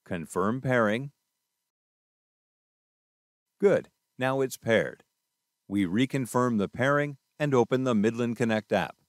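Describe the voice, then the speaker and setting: A man's voice: medium pitch, a little slow, no room sound, clean studio sound. A man presenting a product tutorial, narrating scripted instructions step by step in a methodical way.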